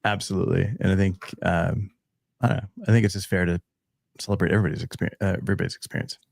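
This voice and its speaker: Deep, gravelly man, joking podcast co-host. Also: level tone, very fast, dry recording, clean studio sound. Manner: teasing, joking asides. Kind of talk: casual podcast chat.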